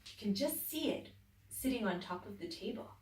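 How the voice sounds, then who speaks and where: A woman teacher reading a children's story aloud, expressive, dropping to whispers and rising to loud exclaims. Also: mid-range voice, moderate pace, slight background noise. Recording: echoey room, distant mic.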